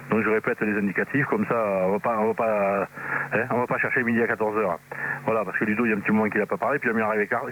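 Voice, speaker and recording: A man's voice: mid-range voice, a bit bright. Joking man on a humming radio link. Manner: joking, crude, colloquial, repeats himself. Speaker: amateur CB radio operator. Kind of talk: casual bantering radio chat. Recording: noisy radio transmission with hum.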